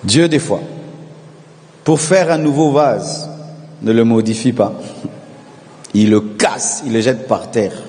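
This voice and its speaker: A man's voice: mid-range voice, slow, pauses often. Preaching man in a reverberant hall. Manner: preaching with call-and-response, rising to shouted repetitions. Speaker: pastor. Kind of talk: sermon.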